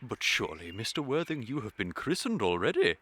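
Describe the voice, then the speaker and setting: Pompous, sing-song English country vicar. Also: male voice, fairly low pitch, very animated, a bit bright, slight background noise. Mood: unctuous, fussy. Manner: unctuous, deliberate, sermonising. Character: fussy, pompous, kindly middle-aged country clergyman. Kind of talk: period stage comedy radio acting.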